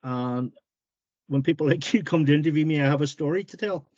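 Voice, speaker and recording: A man being interviewed as an author, talking in a relaxed, plain-spoken and modest way about his writing. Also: fairly high pitch, a little fast, no room sound, lo-fi sound. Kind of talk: casual interview chat.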